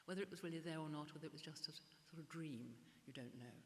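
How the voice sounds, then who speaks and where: An older woman art historian speaking at a public gallery conversation, halting and often restarting her words. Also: deep voice. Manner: hesitant, restarts and repeats words. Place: gallery event space before an audience. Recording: echoey hall, faint hiss.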